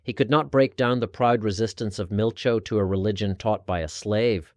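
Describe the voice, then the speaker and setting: A man narrating a scripted historical religious article in a formal, measured, oratorical way. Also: fairly low pitch, darkish tone, dry recording, clean studio sound. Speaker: narrator reading an article aloud.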